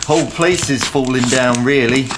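Actor as a chatty young caretaker, noisy backdrop. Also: male voice, mid-range voice, normal speed, close to an everyday voice. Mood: breezy, familiar. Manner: slangy, familiar, joking. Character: chatty, cheeky young male castle caretaker. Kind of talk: audio drama acting.

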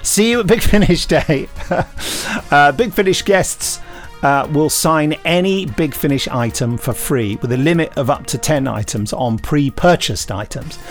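A man co-hosting a podcast, reading out news in casual chat with dry jokes that trail off quietly. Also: on the high side, measured pace, soft background music. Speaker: podcast co-host.

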